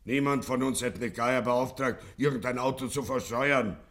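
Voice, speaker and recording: A male actor plays a stubborn gangster boss of middle age in an old radio satire. His voice is gravelly and sharp, with plenty of force. He blusters and makes demands in a gruff, thick-skulled way. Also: medium pitch, normal speed, lightly acted. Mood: gruff, defensive. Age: middle-aged. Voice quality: gravelly, hard-edged. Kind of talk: 1950s German radio play acting.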